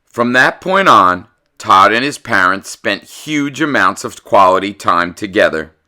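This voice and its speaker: Male read-aloud host, unhurried and theatrical, with lively swings in pitch, for children's picture books. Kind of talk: expressive children's picture book narration.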